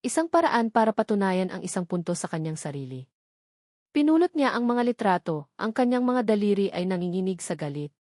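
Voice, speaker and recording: A Filipino woman narrates a scripted romantic drama. Her voice sits at a middle pitch, and the recording is dry, with very little room sound. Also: sing-song, a little fast, darkish tone, dry recording. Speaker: story narrator.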